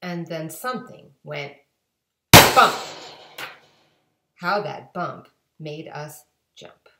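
A woman in her forties reading a storybook aloud to children with expressive, deliberate emphasis. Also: low-pitched, background noise. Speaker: guest storybook reader. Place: home kitchen-dining room. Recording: echoey home room, webcam microphone.

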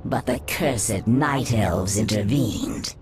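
A man's voice: lowish voice, takes it slowly, background noise. A man voicing a proud, regal naga commander in a fantasy game, with a formal, stately manner. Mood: haughty. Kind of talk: dramatic fantasy game cutscene acting.

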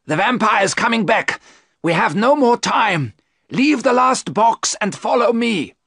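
An old professor who hunts vampires, commanding and urgent, as a man reading a storybook puts him on. The voice sits well above the reader's own, rising to loud cries. It sounds dry and close. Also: fairly high pitch, normal speed, no room sound, voice pushed up, natural voice.

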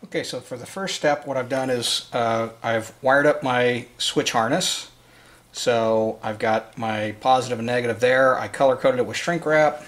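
A man's voice: mid-range voice, normal speed, a bit bright. A man explaining a hands-on task step by step in an informal, unscripted way, as the presenter of a DIY tutorial. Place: indoors at a work table in a room.

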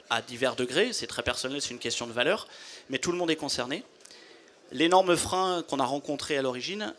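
A man in his forties, a company founder, explaining his business in a measured way into a handheld mic at a trade fair studio booth. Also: medium pitch, a bit bright.

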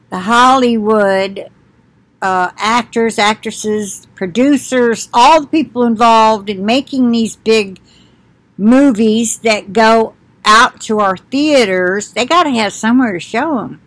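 A woman's voice: a little high, slow, fairly bright tone, dry recording. An older woman talking casually to her webcam from her living room couch, chatty and reminiscing as she reacts to a video. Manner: chatty, reminiscing, laughs often. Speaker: commentary channel host. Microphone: webcam at desk distance.

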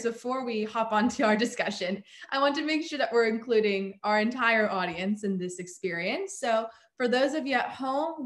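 A woman's voice: fairly high pitch, fairly bright tone. A young woman hosting a live online panel, enthusiastic and smiling as she thanks the guest and asks questions. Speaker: host, moderator. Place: room at home with wooden bookshelves. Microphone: laptop webcam on video call. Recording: roomy video call audio.

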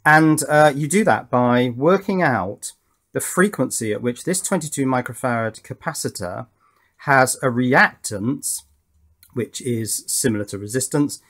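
A man's voice: mid-range voice, lively intonation, unhurried, a bit bright. A man giving a casual, hands-on technical explanation in an informal, methodical way, as a tutorial host. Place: indoors at a workbench.

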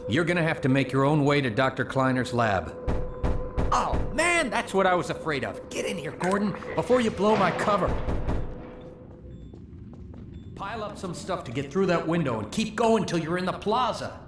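An actor playing a friendly, wry undercover guard in a video game, talking informally. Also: male voice, on the high side, expressive, brisk, music in the background, close to an everyday voice. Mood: anxious, urgent. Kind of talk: casual video game dialogue.

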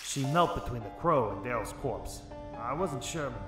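A man plays a bitter, world-weary private investigator from a 1940s noir radio serial. His delivery is tough and wry at a middling pitch. The sound is roomy, with music underneath. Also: lively intonation, normal speed, natural voice. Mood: wry, brooding. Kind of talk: noir radio drama acting and narration.

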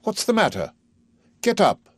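A man playing a haughty, short-tempered gentleman professor in a play reading, pompous and emphatic. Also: sits high, huge pitch swings, unhurried, no room sound, pitched above the performer's own voice, exaggerated voice. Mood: astonished, scornful. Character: haughty, irascible gentleman professor, loftily dismissive.

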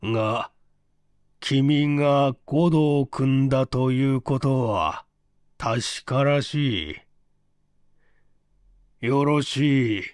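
A man voicing a sickly, suspicious rich old man with a gravelly, slurred voice and a halting, nagging manner. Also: medium pitch, a little mellow, no echo, clean studio sound. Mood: grudging. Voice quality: gravelly, slurred, thick-tongued. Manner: halting, labored, clinging.

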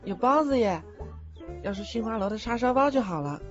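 Through a slightly muffled recording with faint music behind comes a sweet, frail young ghost played by a man. He is childlike and eager, a gentle audio drama character. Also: high voice, expressive, fairly quick. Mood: delighted, eager. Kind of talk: Chinese audio drama acting.

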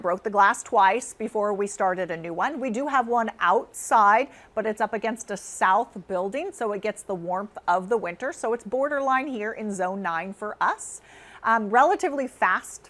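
A middle-aged American woman speaks at a medium pitch as an informal educator. She explains things conversationally and slips into expressive storytelling, as a presenter talking to camera would. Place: inside a greenhouse conservatory.

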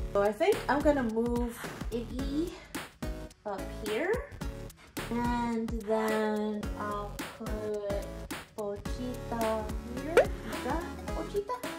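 Slow-paced young woman over music. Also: fairly high pitch. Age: young adult. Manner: gushing over cute things, talks to objects. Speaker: vlogger unboxing collectible figures. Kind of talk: casual chat to viewers. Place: indoors, living room at home. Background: background music.

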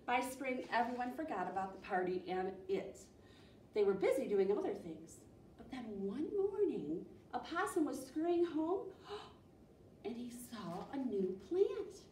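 Animated woman reading to children. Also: on the high side, unhurried. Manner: animated, expressive. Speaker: library storytime presenter. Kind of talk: children's picture-book reading. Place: small indoor library room. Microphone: distant camera. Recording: roomy, distant mic, faint noise.

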